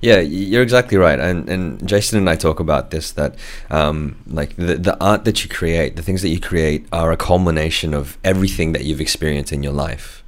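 A man, husky from a cold, talking as a podcast guest with many fillers and restarts. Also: very deep voice, normal speed, few pauses. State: has a cold. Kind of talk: casual podcast chat.

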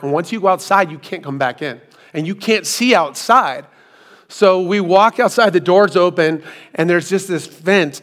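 Hoarse middle-aged man preaching. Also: on the high side, a bit bright. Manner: self-deprecating storytelling with asides. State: hoarse, recovering from lost voice. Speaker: pastor. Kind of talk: sermon. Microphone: hands-free microphone.

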